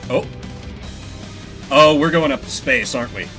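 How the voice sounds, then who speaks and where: A young man streaming a video game, giving excitable commentary and swearing casually, over game music. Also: medium pitch, a little slow. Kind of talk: gameplay commentary.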